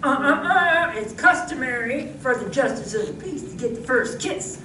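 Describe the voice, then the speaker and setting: Woman as a high-voiced, fussy justice of the peace. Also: animated, moderate pace. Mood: officious. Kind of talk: comic old-time radio acting. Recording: distant, echoey, noisy live stage recording.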